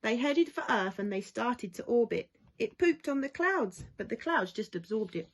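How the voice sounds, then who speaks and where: A woman reading a rhyming children's storybook aloud in an expressive, lilting way, as school staff do for young pupils. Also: on the high side, normal speed, rough recording. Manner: animated, lilting rhyming delivery.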